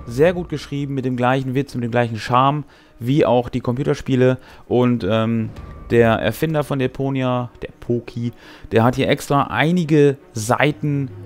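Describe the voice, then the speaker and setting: A man's voice: medium pitch, a little mellow. A man in his forties talking casually to camera as host of a tabletop gaming show, in a laid-back, wry, conversational way. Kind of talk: casual talk to camera about a tabletop role-playing game. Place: small brick-walled game room indoors. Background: background music.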